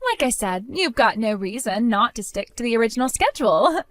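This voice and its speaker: Woman doing a sneering, giggly future sister-in-law for an audio drama; bright, bouncy, dry close sound. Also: on the high side, animated, brisk, no room sound, lightly acted. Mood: mocking. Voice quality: giggly, taunting. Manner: sing-song, dismissive, turns pleading.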